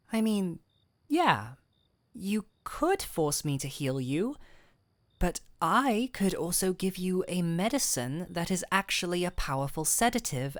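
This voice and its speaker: A woman playing a gentle but firm fantasy physician, calm and wry, in an intimate audio roleplay with measured, coaxing delivery. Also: on the low side, dry recording, clean studio sound. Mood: calm bargaining. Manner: measured, coaxing, wryly stern. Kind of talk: intimate scripted audio roleplay addressed to the listener.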